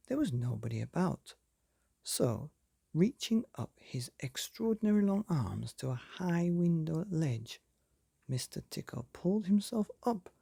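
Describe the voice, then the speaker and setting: A man reading a children's bedtime story expressively, with deliberate dramatic pauses, as if speaking directly to young listeners. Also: on the high side, vocal fry, warm tone, breathy edge, no room sound, clean studio sound. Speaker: storyteller, podcast reader.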